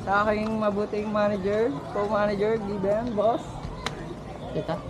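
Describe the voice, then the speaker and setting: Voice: medium pitch, flat delivery, measured pace. Chatty vlogger on a noisy outdoor walk. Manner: chatty, pointing things out. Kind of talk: casual walking tour chat. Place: outdoor resort grounds. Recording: loud outdoor noise and music.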